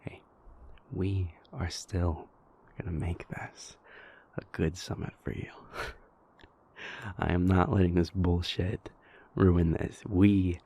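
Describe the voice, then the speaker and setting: A man playing a wounded, devoted vampire prince in an intimate audio roleplay, speaking haltingly with repeated words in a husky, gravelly voice. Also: low voice, level tone, mellow, slight background noise, full character voice. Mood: determined, wry.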